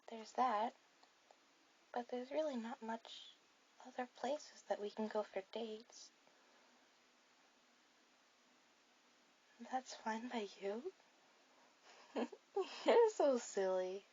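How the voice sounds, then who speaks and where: A woman voicing a shy, sweet, bookish girl on a first date in an ASMR roleplay, speaking softly and hesitantly to the listener. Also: fairly high pitch, breathy edge, muffled recording. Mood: bashful, hesitant, then sweet, reassured. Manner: soft, hesitant, halting delivery.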